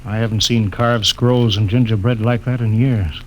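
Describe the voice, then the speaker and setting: An actor playing a brisk, authoritative male spaceship captain in a 1950s radio drama. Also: on the low side, fairly even intonation, noisy background, lightly acted. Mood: bewildered.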